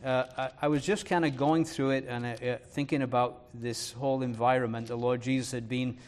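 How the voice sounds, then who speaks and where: Man, preacher, Leeds accent with Irish and American traces, mid-pitched voice, earnest, slow emphatic scripture reading. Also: fairly even intonation, a little mellow. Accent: Leeds English, touched by Irish and American. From northern England. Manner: earnest, fills pauses with "uh" and "um"; reads scripture slowly with emphasis.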